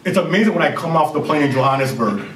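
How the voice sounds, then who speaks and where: A man in his thirties with a Jamaican accent, a CEO giving an energetic conference presentation and joking with the audience. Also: a little high, fast talker, a little hiss or hum. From Canada. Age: 30s. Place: university lecture hall. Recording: roomy hall recording from far off.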